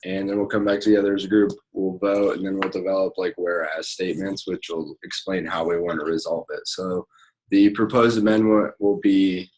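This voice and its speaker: A young man serving as a state student officer, explaining convention procedures casually on a conference call, with halting phrasing and fillers. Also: low voice, little pitch movement, a little mellow, rough recording. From Nebraska.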